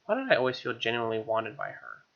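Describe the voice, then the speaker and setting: A man's voice: mid-range voice, huge pitch swings, fast, gravelly, highs cut off. A man hosting a let's play, reading game text expressively with wry, casual asides.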